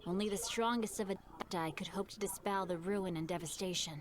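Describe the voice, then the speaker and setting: A woman's voice: mid-range voice, fairly quick, background noise, natural voice. A woman as a courteous, bookish teenage boy. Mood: earnest. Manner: polite, eloquent, reflective. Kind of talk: scripted game dialogue.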